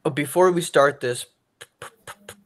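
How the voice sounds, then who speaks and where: A man's voice: fairly high pitch, moderate pace, a bit bright, faint music behind. A young man with a stutter, giving a college class presentation over a video call. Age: young adult. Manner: stutters with sound repetitions and blocks. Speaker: student presenter.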